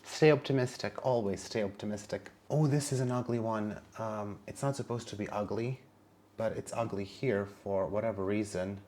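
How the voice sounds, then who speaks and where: A man's voice: lowish voice, moderate pace, a little mellow. A man whose first language is Serbian, narrating a casual plant vlog in a chatty, wry manner with sassy asides. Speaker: plant vlogger.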